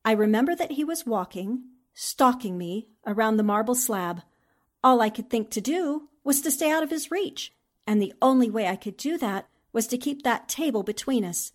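A plucky newspaperwoman from a 1940s radio mystery, played by an actress. Her voice sits fairly high. She speaks earnestly and dramatically, with plenty of determination. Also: expressive, moderate pace. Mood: shaken, recounting.

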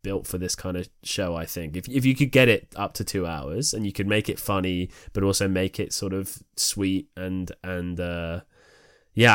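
A man's voice: low-pitched, fairly bright tone, dry recording, clean studio sound. A man co-hosting a casual podcast, thinking aloud enthusiastically as he talks. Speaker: podcast co-host. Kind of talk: casual chat.

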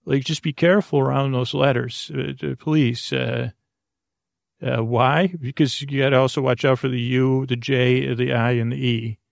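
A man hosting a bedtime podcast in a gravelly voice, rambling through an improvised monologue that halts and circles back on itself. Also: medium pitch, steady tone, no room sound, clean studio sound. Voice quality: gravelly, creaky. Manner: meandering, halting, repeats and circles back on words. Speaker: podcast host.

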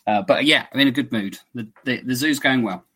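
Casting: male podcast host, mid pitch, loose chatty delivery, laughs a lot, swears freely. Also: a little fast, pushed and bright, slightly rough. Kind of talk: casual chat.

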